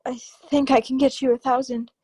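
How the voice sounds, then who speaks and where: A desperate, well-to-do wife acted by a woman in a radio thriller. Her voice sits high, and she pleads with guarded defiance as someone backed into a corner. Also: flat delivery, fairly quick, dark tone, husky, rough recording. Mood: tense, guarded. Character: cornered, desperate young wealthy wife hiding a past. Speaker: actor. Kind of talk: old-time radio crime drama acting.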